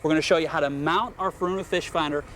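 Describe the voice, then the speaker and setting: A man's voice: fairly high pitch, fairly even intonation, fast, fairly bright tone, a little hiss or hum. A man hosting a boating how-to TV show, upbeat and prompting his guest with questions. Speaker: TV show host. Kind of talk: TV how-to presenting.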